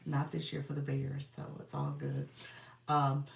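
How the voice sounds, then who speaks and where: Low husky-voiced congested woman streaming live. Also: level tone, a little slow, far from the mic. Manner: greets viewers by name, chatty and upbeat. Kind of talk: casual live stream chat. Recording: roomy sound, from off the mic.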